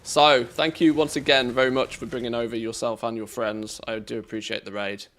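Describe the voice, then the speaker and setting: A man's voice: medium pitch, little pitch movement, a little fast, fairly bright tone. Chatty English man streaming a game. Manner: chatty, thanks and greets viewers, reacts aloud to the game. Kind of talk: casual live-stream commentary while gaming.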